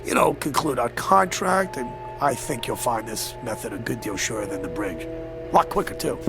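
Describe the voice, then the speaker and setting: A man's voice: mid-range voice, expressive, normal speed, vocal fry, over music. An actor playing a sly devil posing as a newspaperman in a radio drama, mock-genteel, teasing and menacing. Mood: mock-regretful menace.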